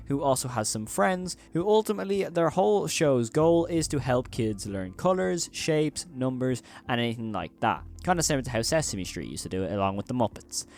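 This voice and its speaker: A man speaks as the host of a horror commentary video. His voice sits at a middle pitch. He is emphatic and wordy, often circling back over his phrasing. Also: a little mellow.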